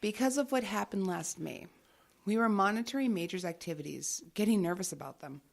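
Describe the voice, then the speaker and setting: An actress playing a guarded, businesslike investigator in an audio drama, matter-of-fact and evasive. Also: female voice, mid-range voice, expressive, fairly quick, vocal fry. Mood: measured, explanatory. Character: guarded, businesslike female investigator working undercover.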